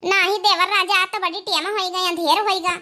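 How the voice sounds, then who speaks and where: A woman's voice: very high voice, steady tone, normal speed, bright, forceful tone. A woman voicing a plain-spoken village sister-in-law in a cartoon comedy, rustic and plaintive, with an Awadhi accent.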